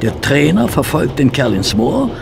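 Detective's plain-minded male companion, radio drama. Flustered, gravelly, with clear vehicle noise behind. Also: a little high, sing-song, normal speed, heavily acted. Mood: confident. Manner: flustered, hesitant, questioning. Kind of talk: German radio play dialogue.

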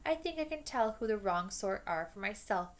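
A woman voicing a young boy who speaks coolly and quietly. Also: medium pitch, lively intonation, moderate pace, lightly acted. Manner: cool, quiet. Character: young wizard boy, calm and cool.

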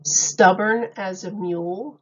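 A woman reads a children's picture book at an unhurried, slow pace. She speaks like a teacher, in simple phrases with questions to little listeners. The recording is slightly dull and lo-fi. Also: mid-range voice. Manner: simple, deliberate phrasing addressed to small children. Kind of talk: children's read-aloud with questions to young listeners.

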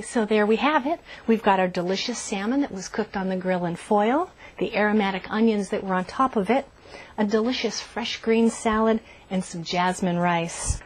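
A woman's voice: mid-range voice, a little hiss or hum, rough recording. A woman hosting a cooking show, walking through a recipe step by step in a cheerful, instructive way. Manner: cheerful, instructive, says "delicious" often. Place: RV kitchen and outdoor grill.

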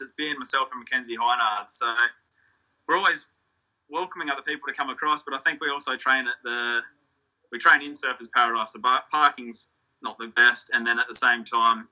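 A young Australian man, a surf ski champion, answering interview questions in a relaxed, rambling way over a video call from a small indoor room. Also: medium pitch, rough recording. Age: young adult. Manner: relaxed, rambling, with restarts and fillers. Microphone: laptop or phone on a video call.

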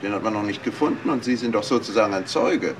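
An actor playing an educated, guarded and slightly sardonic doctor in a crime radio play. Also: male voice, fairly low pitch, fast, natural voice. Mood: probing, teasing. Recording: noisy old radio drama recording.

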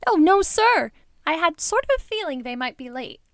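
A woman's voice: very high voice, very animated, moderate pace, no echo, heavily acted. A woman playing a deferential, chirpy household maid in an audio drama. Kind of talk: film-adaptation audio drama acting.

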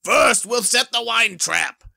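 A man voicing a scheming, gloating warmongering comic-book villain in a bombastic, theatrical reading. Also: very high-pitched, slow pace, vocal fry, dry recording, studio quality, voice pushed up, exaggerated voice. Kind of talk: hammy dramatic reading of a comic.

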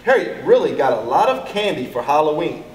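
A man's voice: on the high side, sing-song, a little fast. A middle-aged American man reading a picture book aloud to children, expressively and addressing them directly. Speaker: elementary school educator reading to pupils. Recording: echoey, distant mic, background noise.

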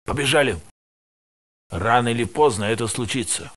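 An actor voicing a tired male security guard in a research facility in a video game dub, with a gravelly, matter-of-fact delivery. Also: medium pitch, lively intonation, normal speed, a bit bright. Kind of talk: game voice lines, Russian dub.

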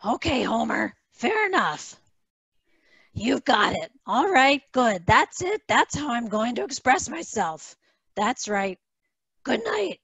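Long-suffering, principled cartoon wife played by a woman, gravelly yet bright, unhurried. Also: on the high side, sing-song, no echo, exaggerated voice. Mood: resigned, curt. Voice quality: raspy. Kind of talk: amateur cartoon table read. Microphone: headset.

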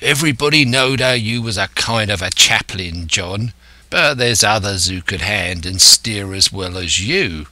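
Man as a surly, growling, slow pirate coxswain. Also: on the low side, expressive, bright, forceful tone, no echo, heavily acted. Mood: defiant. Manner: growling, surly, rough seafaring dialect. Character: impatient, grumbling male pirate coxswain.

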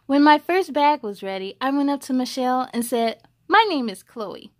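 A young woman storyteller reading aloud for children in an expressive, encouraging way, addressing them directly. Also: high voice, moderate pace. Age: young adult.

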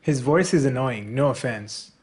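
A man's voice: mid-range voice, animated, moderate pace, darkish tone, close to an everyday voice. A man reading out anonymous online comments in a talk clip, played from an archive recording.

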